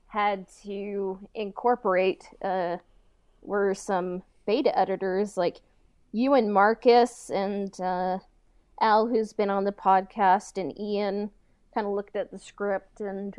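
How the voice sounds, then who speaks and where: Slow-talking woman podcast host, dull audio. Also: mid-range voice, smooth, dry recording. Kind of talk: casual chat, interviewing.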